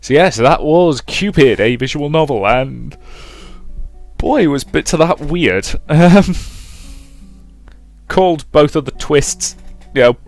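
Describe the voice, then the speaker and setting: A man's voice: on the high side, slow pace, dry recording, soft background music. A man hosting a game playthrough, reading visual novel narration aloud and adding his own commentary. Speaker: let's player.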